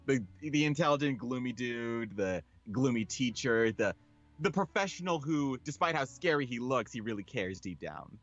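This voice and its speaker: Male let's play host, animated and expressive, swinging intonation, casual commentary, slightly muffled recording. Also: mid-range voice, normal speed. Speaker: game streamer reading a visual novel aloud. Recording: dull audio with music underneath.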